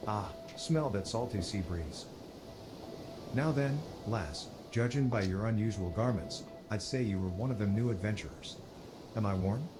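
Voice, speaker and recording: A man's voice: lowish voice, noisy background, natural voice. A man voicing a chatty, friendly travelling peddler in a video game, with a British accent and a folksy, rambling, colloquial way of talking. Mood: jovial, curious. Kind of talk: video game character dialogue.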